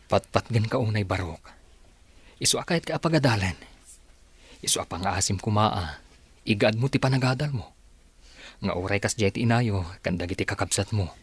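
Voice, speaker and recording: A man playing a protective father in an audio drama, with a husky, earnest, admonishing way of talking. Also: fairly low pitch, expressive, moderate pace, pauses often. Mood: gentle, consoling. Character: protective, reproachful father defending his adopted son.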